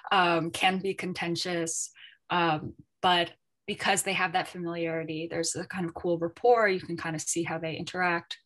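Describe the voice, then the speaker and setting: A woman's voice: fairly low pitch, a bit bright, low-quality recording. A woman answering a question on a panel, explaining informally and thinking aloud as she goes. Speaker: editor and panel guest.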